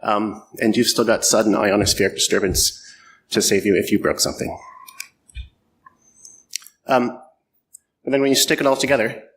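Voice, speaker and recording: A man's voice: lowish voice, moderate pace, pauses often, a bit bright, a little hiss or hum, rough recording. A middle-aged man from Canada giving a conference talk, self-deprecating and joking, with many ums and uhs. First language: French or English, bilingual. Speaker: conference speaker. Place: conference hall.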